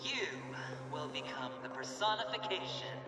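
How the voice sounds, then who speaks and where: Taunting young gambler, theatrical, hushed to loud, echoing, loud music behind, video game villain. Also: male voice, a little high, very animated, moderate pace, recorded from a distance. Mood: menacing. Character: flamboyant, menacing young male gambler, smooth and taunting. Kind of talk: dramatic video game voice acting. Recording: roomy echo, loud music underneath.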